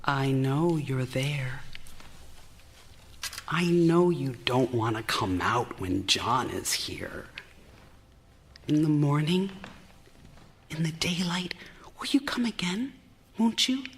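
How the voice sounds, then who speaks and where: An actress playing a troubled, fixated wife confined to her room, in live radio drama style with a brooding, deliberate delivery. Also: deep voice. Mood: secretive, pleading. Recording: live theater recording with faint rain noise.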